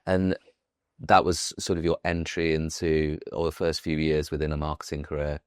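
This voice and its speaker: A man hosting a podcast, asking questions in a halting way with repeated words. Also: extremely low pitch, flat delivery, clear, resonant tone, no echo, clean studio sound. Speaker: podcast host. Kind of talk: interview questions.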